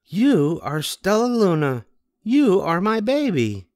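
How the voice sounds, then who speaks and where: A man's voice: high voice, sing-song, takes it slowly, a little mellow, no echo, studio quality, voice pushed up, exaggerated voice. A man voicing a caring, protective, reassuring mother bat in a storybook. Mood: amazed, tender.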